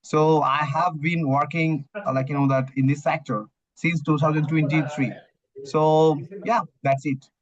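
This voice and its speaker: A man's voice: fairly high pitch, level tone, unhurried, highs cut off. A young man from Bangladesh with a Bangladeshi accent, a student talking as a guest in a casual English practice chat, hesitant and full of "you know that" and "uh". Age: young adult.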